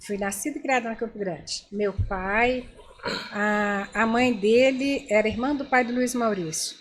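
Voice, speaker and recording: A woman's voice: a little high, measured pace, a little hiss or hum. An older woman from Brazil, a guest in an interview, telling stories from her past and speaking directly to the people she is talking with. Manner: animated, addresses listeners directly. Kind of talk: storytelling interview.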